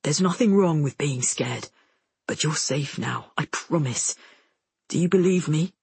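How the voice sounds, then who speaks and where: A woman voicing a gentle, protective, remorseful young male soldier in a low, breathy hush for an audiobook. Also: steady tone, normal speed, no echo. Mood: soothing.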